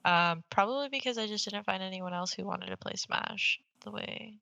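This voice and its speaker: A woman's voice: medium pitch, moderate pace, creaky, bright, forceful tone, dry recording. A young woman co-hosting a gaming podcast in casual, slangy chat, swearing freely and teasing playfully. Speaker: podcast co-host.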